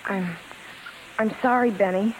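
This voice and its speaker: An American woman playing a bossy, quick-tempered, showy female vaudeville star in a 1950s radio drama, talking in brash, commanding slang. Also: mid-range voice, slight background noise, close to an everyday voice, low-quality recording. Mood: subdued, businesslike. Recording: vintage 1950s radio broadcast with music.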